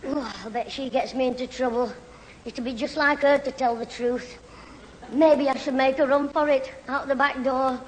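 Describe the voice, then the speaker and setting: A man's voice: very high voice, fairly even intonation, in a large hall, low-quality recording. A man playing a cheeky, scheming schoolboy in a 1970s British radio sitcom. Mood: worried. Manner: cheeky, quick to fib and bluster. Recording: old radio recording, faint hiss, studio audience.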